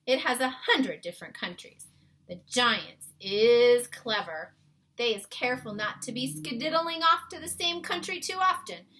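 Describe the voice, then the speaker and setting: A woman's voice: fairly high pitch, animated, a little slow, sharp tone, pitched above the performer's own voice, rough recording. A woman voicing a big, friendly male giant in a children's storybook, earnest and emphatic, with muddled homespun grammar. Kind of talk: children's storybook read-aloud.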